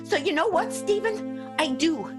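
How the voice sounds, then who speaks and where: High female voice, scrappy young vaudeville gal acted by a woman, vengeful, folksy, theatrical radio soap, faint music. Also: animated, measured pace. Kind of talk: improvised radio soap opera acting.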